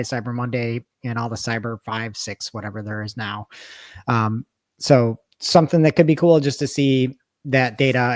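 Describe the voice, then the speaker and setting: A woman's voice: low voice, a little mellow, dry recording. A woman chatting casually about ad tools, upbeat and full of fillers. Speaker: paid search guest. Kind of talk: casual chat.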